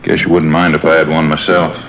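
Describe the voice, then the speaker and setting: A very low-voiced old man, weary and brooding in a vintage radio drama. He rambles in a folksy, flat way. The sound is muffled, with music behind. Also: quick pace. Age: older. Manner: rambling, folksy, repeats himself. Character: weary, brooding elderly father-in-law who likes a drink. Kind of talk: 1950s radio drama acting.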